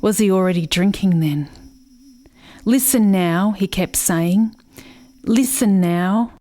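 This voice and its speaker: A woman acting in a radio play as a haunted, grieving daughter recalling a rural childhood in a measured, solemn monologue. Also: mid-range voice, dark tone, lightly acted. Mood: haunted, questioning. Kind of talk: reflective dramatic monologue.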